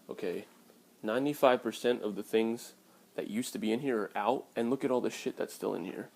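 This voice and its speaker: A man's voice: lowish voice, vocal fry, a little mellow. A man in his early twenties talking casually and candidly to his viewers in a blunt, sweary vlog style. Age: early 20s. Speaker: vlogger.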